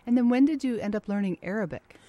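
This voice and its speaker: A woman conducting an interview, asking warm, encouraging questions and echoing the answers back to her guest. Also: a little high, fast talker, lo-fi sound. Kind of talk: conversational interview.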